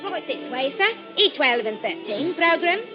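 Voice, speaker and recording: An actress playing a courteous theater usherette showing people to their seats in a 1940s radio play. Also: female voice, high voice, very animated, moderate pace, pitched above the performer's own voice. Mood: polite. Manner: formal, courteous. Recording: dull old radio recording with music.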